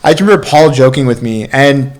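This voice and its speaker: A man's voice: medium pitch, expressive, brisk. A man podcast co-host in casual conversation with friends, using loose slang and swearing freely. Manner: profane, slangy. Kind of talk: casual chat among friends.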